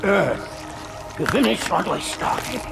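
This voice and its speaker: A sinister, zealous prophet from a video game. His high voice is thick with creak and lurches widely in pitch, each theatrical threat placed deliberately. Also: big pitch swings, exaggerated voice. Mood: menacing. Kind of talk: video game cutscene acting. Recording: loud music behind.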